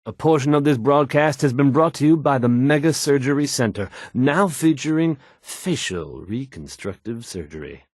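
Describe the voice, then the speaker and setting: A self-assured radio DJ from a game world. His mid-pitched voice moves with lively swings through fluent, polished announcements, recorded close and dry. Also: no echo. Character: confident, polished, smooth male radio DJ.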